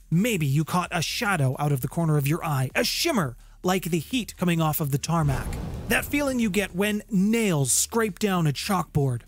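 A man in his thirties giving a conversational scripted explainer as a channel host, with emphatic, dramatic phrasing, recorded close on a studio microphone. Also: high-pitched. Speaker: host of a tabletop game lore channel. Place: home music room. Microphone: large studio microphone close up. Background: music.

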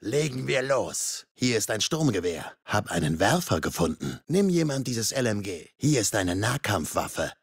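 An actor playing a menacing masked slasher killer in a video game, raspy and gravelly, threatening, mocking and darkly playful. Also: male voice, lowish voice, very animated, normal speed, hardly any pauses, dry recording, studio quality, heavily acted. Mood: businesslike menace. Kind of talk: video game combat voice lines.

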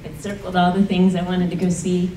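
A woman's voice: lowish voice, completely flat delivery, a little fast, dark tone, a little hiss or hum. A woman telling a personal story earnestly to a panel audience, with emphatic moments. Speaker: panel speaker. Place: conference room. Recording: roomy conference hall audio from a distance.

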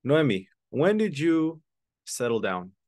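Slow, patient man teaching English. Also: mid-range voice, sing-song, a little mellow, no room sound, studio quality. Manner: patient, repeats words slowly for students. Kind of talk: online English lesson, explaining in English and Spanish. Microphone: video call webcam.

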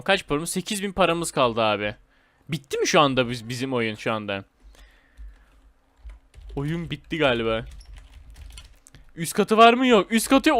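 A man hosting a gaming video with casual, excited commentary, speaking in slangy, repetitive phrases and addressing viewers as friends. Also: fairly high pitch, no room sound.

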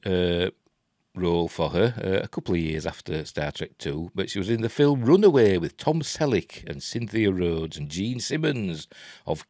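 A man's voice: low-pitched, a little mellow, dry recording, clean studio sound. A man in his sixties with a Yorkshire accent, giving casual news commentary in a hesitant, rambling way. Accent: Yorkshire English. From Yorkshire, England. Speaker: host of a film and TV news vlog. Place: small cluttered room at home, indoors.

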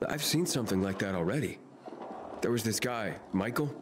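A man's voice: on the low side, lively intonation, a little fast, lightly acted. A man voicing a wry, sceptical young adventurer in a video game, with a casual, dry delivery. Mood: puzzled. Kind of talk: video game cutscene acting. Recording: clearly noisy game ambience behind.

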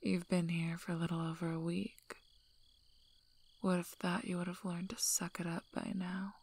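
A woman playing a tough, teasing, gruffly protective werewolf alpha in a soft-spoken, breathy ASMR roleplay, with dry delivery. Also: fairly low pitch, monotone, normal speed. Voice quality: breathy, whispery, husky.

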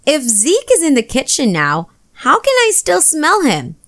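A woman voicing a curious, excitable little boy in a storybook, exclaiming and asking questions. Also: sits high, unhurried, pitched above the performer's own voice, full character voice. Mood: puzzled, curious.

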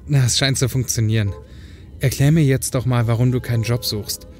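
A man's voice: mid-range voice, steady tone, a little fast, darkish tone, soft background music, close to an everyday voice. A man acting a concerned, pushy but caring brother in an audio drama, speaking earnestly and persuasively. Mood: concerned, coaxing.

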